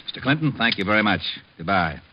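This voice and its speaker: A man playing a gruff, exasperated police lieutenant in an old-time radio drama, curt and commanding. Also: lowish voice, expressive, quick pace, lightly acted. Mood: gruff, bullying. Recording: dull old radio recording, faint noise.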